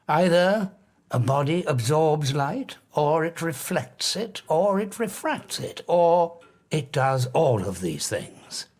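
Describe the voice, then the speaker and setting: An actor playing an obsessive, grandiose scientist in an audiobook drama, arrogant and emphatic, lecturing with dramatic pauses. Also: male voice, fairly high pitch, big pitch swings, darkish tone, exaggerated voice. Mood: intense explaining.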